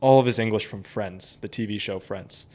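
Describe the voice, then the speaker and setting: A man's voice: fairly low pitch, normal speed, darkish tone. A man hosting a podcast interview, telling loose stories and correcting himself as he goes. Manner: rambling, loose storytelling with self-corrections. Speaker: podcast host. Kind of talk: casual interview chat.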